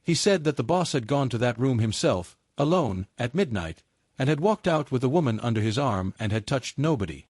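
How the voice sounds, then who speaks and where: Expressive male audiobook narrator, dry sound. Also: medium pitch, fairly quick, slightly rough, no echo. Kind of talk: scripted dramatic story narration.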